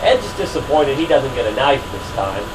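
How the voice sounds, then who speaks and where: A hoarse man just over a cold, giving casual, wry commentary over a role-playing game with dry asides. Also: medium pitch, animated, normal speed, background noise. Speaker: let's play commentator. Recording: game music playing under the voice.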